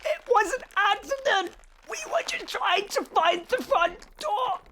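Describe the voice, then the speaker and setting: A woman's voice: very high-pitched, animated, a little slow, noisy background. A woman playing a flustered, whiny young male adventurer in an amateur audio drama. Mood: panicked pleading.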